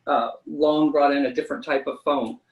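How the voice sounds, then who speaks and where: A man's voice: fairly high pitch, steady tone, moderate pace, highs cut off. A man working as a special effects artist, telling stories in a chatty, enthusiastic way during an interview. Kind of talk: casual interview answers.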